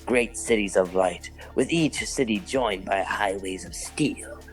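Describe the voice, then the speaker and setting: A man playing a cold, scheming alien robot leader who speaks in formal, measured, grandiose phrases in a comic dub. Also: on the low side, lightly acted. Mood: cool, commanding. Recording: voice under background music.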